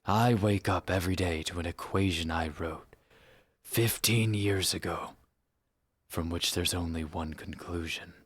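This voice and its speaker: A man playing a weary, haunted rebel in a solemn, hushed dramatic monologue. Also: deep voice, a little slow, slightly rough, no room sound. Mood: bleak, resigned. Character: weary, haunted rebel spymaster confessing his sacrifices.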